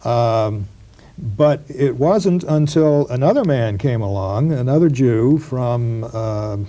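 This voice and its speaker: Man, mellow voice, mid pitch, halting explanation, many fillers, 1990s interview recording. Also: lively intonation. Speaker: professor being interviewed.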